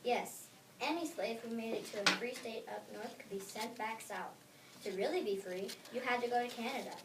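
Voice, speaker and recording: A woman's voice: on the high side, moderate pace, lightly acted. A girl of about eight or nine reading the part of a child who tells a family story, in an earnest school readers theater style. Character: grandparent-taught child telling great-grandpa's escape story. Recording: echoey noisy room from far off.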